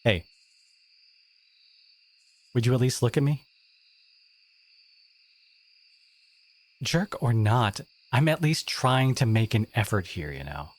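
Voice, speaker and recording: Someone acting a brash, exasperated teenage bully, wildly animated. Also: mid-range voice, measured pace, long pauses, close to an everyday voice. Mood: indignant, defensive. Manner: exasperated, confrontational, sighing, emphatic. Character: brash teenage school bully, abrasive but secretly caring. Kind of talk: dramatic audio roleplay monologue addressed to listener.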